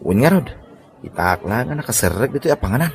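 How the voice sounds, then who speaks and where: A nosy, mocking male buddy with an Ilocano accent, played by an actor. He speaks in a lively, varied pitch in comedy drama style. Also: fast, vocal fry, slight background noise.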